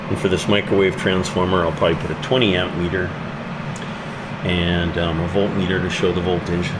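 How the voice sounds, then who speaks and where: A man giving an informal, unscripted hands-on explanation of his hobby project in a blunt, colloquial, plain-spoken way. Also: deep voice. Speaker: hobbyist presenter demonstrating his amplifier project. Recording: loud steady background hum.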